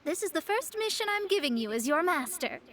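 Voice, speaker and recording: Brief: haughty, composed young astrologer, higher voice, game cutscene. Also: female voice, big pitch swings, fairly bright tone, slight background noise, full character voice. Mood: poised. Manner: measured, slightly haughty. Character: proud young female astrologer, composed and formal. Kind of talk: video game dialogue.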